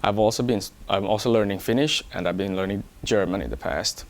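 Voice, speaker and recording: Low-pitched man, measured and explanatory. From Hong Kong. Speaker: electronics engineer. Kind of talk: first-person employee profile talk.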